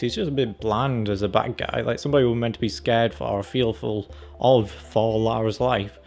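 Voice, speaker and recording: A man giving casual, opinionated video commentary as a review vlogger, in a rambling, conversational way. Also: medium pitch. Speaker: film and game review vlogger.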